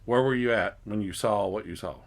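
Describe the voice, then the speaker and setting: A man's voice: lowish voice, brisk, pitched below the performer's own voice, lightly acted. A man playing a jokey, incredulous reporter in an improvised mock news interview, skeptical and breaking into amusement. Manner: teasing, skeptical, breaks into laughter. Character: female TV news reporter Mary McBigbottom, folksy, incredulous, jokey.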